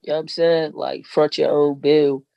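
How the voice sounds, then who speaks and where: A woman's voice: deep voice, little pitch movement, moderate pace, gravelly, no room sound, lo-fi sound. A woman rapper talking as a guest in a casual podcast interview, laid-back and slangy, often saying "you know what I'm saying".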